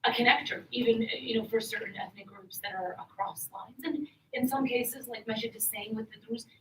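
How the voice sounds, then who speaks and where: A woman's voice: a little high, steady tone, a little fast. A woman on a policy panel talking in a hesitant way with many fillers. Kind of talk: panel discussion. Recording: echoey room, distant mic, faint noise.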